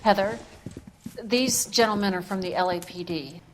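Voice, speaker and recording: An actress playing a composed, businesslike dress shop manager in a video game, polite and measured. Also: female voice, mid-range voice, slight background noise, lightly acted. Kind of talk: video game cutscene acting. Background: faint music.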